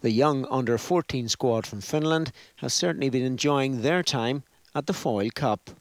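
A man's voice: mid-range voice, moderate pace, no room sound. A man reading a news report as a scripted voice-over.

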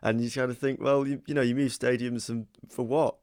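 A man in a casual radio discussion, speaking in a measured way and hedging his points. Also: medium pitch, little pitch movement, darkish tone, no room sound. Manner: measured, hedges with "to be honest". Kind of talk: casual chat.

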